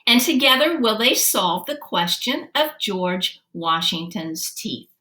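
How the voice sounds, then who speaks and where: A woman reading a children's story aloud expressively, with deliberate, dramatic emphasis. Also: mid-range voice, lively intonation, pushed and bright. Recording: roomy, echoey sound.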